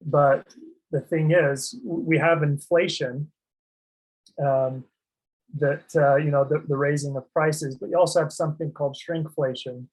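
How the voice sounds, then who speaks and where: A man explaining legal and tax structures in a slide presentation, speaking in a monotone with frequent ums and restarts. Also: fairly high pitch, measured pace, breathy edge, rough recording. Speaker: asset protection presenter.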